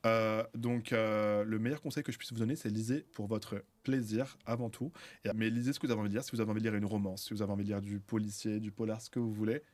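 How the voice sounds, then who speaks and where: A young man from France, a podcast guest, chatting casually with lots of fillers and restarts. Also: a little mellow. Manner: chatty, many fillers and restarts, bursts of high laughter. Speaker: podcast guest, book content creator.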